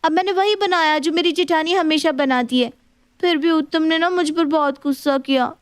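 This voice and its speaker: A woman's voice: high-pitched, normal speed, no room sound, clean studio sound, natural voice. A woman playing a naive, earnest young daughter-in-law in a dramatized story. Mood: upset. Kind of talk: animated story dialogue.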